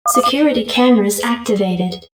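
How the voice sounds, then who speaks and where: A woman's voice: a little high, moderate pace, lightly acted. A woman playing an impersonal security computer in a video game, making clipped, formal announcements. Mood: impassive. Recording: echoey, distant announcement.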